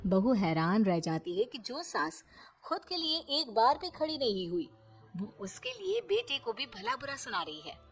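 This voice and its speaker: A woman's voice: a little high, very animated, unhurried. Woman narrating a dramatic story over music. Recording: music under the voice.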